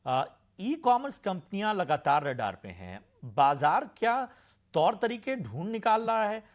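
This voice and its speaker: A man's voice: sits high, big pitch swings, unhurried. A man hosting and moderating a television news panel discussion. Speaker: TV news show host.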